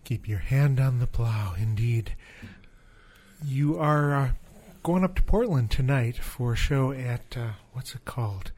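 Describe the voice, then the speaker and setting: A man with a medium-pitched voice hosts a radio interview. He speaks conversationally, moving between event details and informal questions to his guest. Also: a little slow, darkish tone. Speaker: radio show host.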